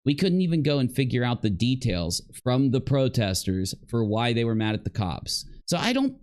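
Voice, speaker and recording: A man's voice: medium pitch, big pitch swings, normal speed, darkish tone. A man giving unscripted reaction commentary as a streamer, arguing and accusing as he goes. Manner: argumentative, accusatory. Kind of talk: unscripted reaction commentary over another person's video.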